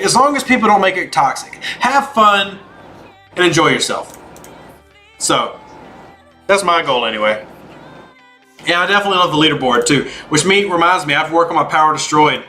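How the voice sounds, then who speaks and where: A man's voice: fairly high pitch, lively intonation, normal speed, bright, forceful tone, faint music behind. A man hosting a game livestream, chatting casually and informally as he answers viewers by name.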